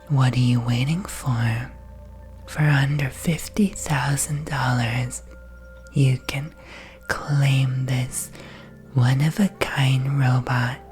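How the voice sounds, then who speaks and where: A man playing an upbeat advert narrator in a breathy ASMR whisper, with a soothing, salesy delivery. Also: a little mellow. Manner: slow, soothing salesy pitch. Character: smooth, upbeat promo video narrator selling robots.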